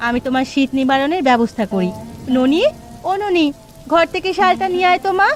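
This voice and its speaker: A woman plays a village mother in a cartoon fairy tale. Her voice is high, caring and practical. Light music runs beneath it. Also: lively intonation, fairly quick. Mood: caring, brisk.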